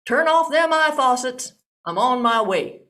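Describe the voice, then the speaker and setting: A plain-talking young suitor with a folksy drawl, quick to exasperation, as a woman storyteller puts him on. His voice comes out highish, and his delivery is slow and unhurried. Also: lively intonation, fairly bright tone. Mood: exasperated.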